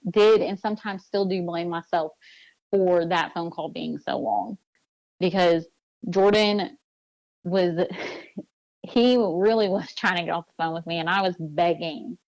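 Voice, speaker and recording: A woman's voice: on the low side, fairly even intonation, unhurried, gravelly, darkish tone, dry recording, rough recording. A woman interview guest giving a personal account in an earnest, insistent way, repeating points for emphasis.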